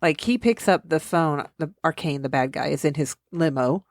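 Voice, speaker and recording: A woman's voice: sits low, normal speed, no room sound, clean studio sound. A woman co-hosting a podcast, recapping a movie in casual chat, laughing often and acting out the characters' lines. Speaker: podcast co-host.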